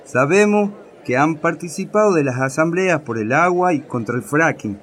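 A courteous field reporter in a radio play. His voice sits on the high side, with formal interviewer phrasing. Faint noise runs underneath. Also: lively intonation, normal speed, fairly bright tone. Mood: formal, interested. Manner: formal, polite interviewer delivery. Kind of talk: radio drama acting.

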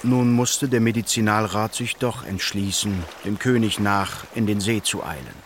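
A man's voice: on the low side, moderate pace. A man narrating a literary radio play in a scripted storytelling style. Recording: clear ambient noise behind the voice.

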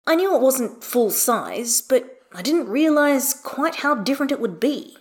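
A woman's voice: high voice, unhurried, voice pushed up. A woman voicing a wry, long-suffering father, dry and a little exasperated, in an audio story. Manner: wry, exasperated, flatly sarcastic.

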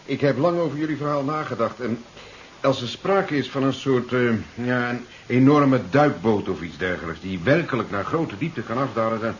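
Man acting cautious male scientist, deliberate, explanatory, self-assured, 1970s radio drama, muffled, light hiss. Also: medium pitch, lively intonation, close to an everyday voice. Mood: thoughtful.

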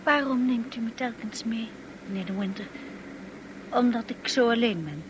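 A woman's voice: on the high side, normal speed, noisy background, natural voice. An actress playing a shy, naive woman of twenty-one in a radio drama, hesitant and apologetic in her way of talking. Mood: girlish, earnest. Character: shy, naive twenty-one-year-old young woman, infatuated and nervous.

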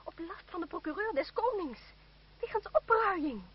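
A woman's voice: extremely high pitch, animated, normal speed. An actress playing a brave, loyal young noblewoman in a radio drama, speaking urgently with formal, imperious diction. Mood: urgent, insistent. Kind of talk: old Dutch radio drama acting, formal theatrical diction. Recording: dull, noisy old radio play recording.

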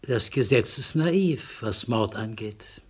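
Very husky, meek little man. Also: medium pitch, lively intonation, slow. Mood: earnest, philosophical. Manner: apologetic, hesitant, softly philosophical. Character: meek, polite, stubbornly principled little man, murder witness. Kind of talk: German radio crime play acting.

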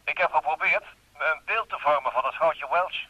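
Radio drama sleuth: highish, forceful voice with a husky edge, wry banter, dry muffled recording. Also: male voice, moderate pace, no room sound, full character voice. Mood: wry, businesslike. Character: teasing, familiar male detective bantering with his secretary. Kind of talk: Dutch radio play acting. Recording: dry, slightly dull radio play recording.

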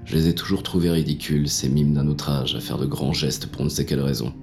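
A man playing a jaded, cynical police inspector who narrates a noir audio drama in a gruff, colloquial, sardonic way. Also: a bass voice, fairly even intonation, fast talker, darkish tone, faint music behind. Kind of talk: first-person noir audio fiction narration.

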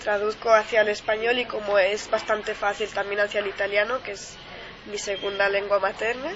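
A woman's voice: mid-range voice, little pitch movement, fairly quick, lo-fi sound. A woman in her twenties from Switzerland, a master's student speaking as a guest in a casual radio interview, often adding tag questions. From Ticino, Switzerland.

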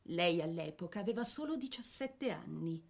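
A woman reading a scripted biographical narration for a dramatic piece, with measured, theatrical phrasing. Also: lowish voice, expressive, creaky.

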